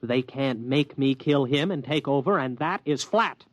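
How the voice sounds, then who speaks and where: Radio-drama role: man playing exasperated young American skeptic, whiny, sardonic, highly animated, dull dry vintage sound. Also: measured pace, no echo, close to an everyday voice. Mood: whining protest. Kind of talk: 1950s radio drama acting.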